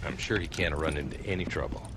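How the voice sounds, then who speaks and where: An actor playing a tired frontier town marshal in a western game, speaking dryly with wry humor. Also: male voice, extremely low pitch, quick pace, noisy background.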